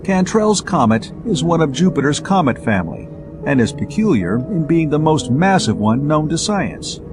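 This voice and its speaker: A man's voice: a little high, big pitch swings, moderate pace, music in the background. A man voicing an eager, slangy spaceman inventor in a science fiction audiobook, explaining technical things breezily.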